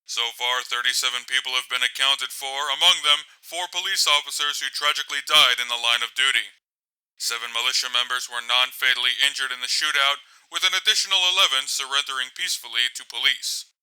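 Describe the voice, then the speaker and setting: A very bright, forceful male voice acted by a man delivers a scripted local news report. It is clean and crisp, with a mid pitch. The manner stays formal, serious and composed. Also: steady tone, brisk, poor audio.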